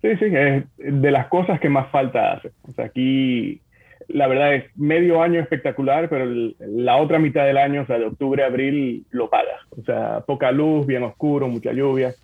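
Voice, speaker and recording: A man talks as a remote podcast guest, relaxed and chatty, his mid-pitched voice gravelly and full of vocal fry. The sound is dry but thin and tinny, as if carried over a phone line. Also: moderate pace, no room sound. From Dominican Republic. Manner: relaxed, often fills gaps with "o sea". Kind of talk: casual interview chat. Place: at home in another city, joining remotely.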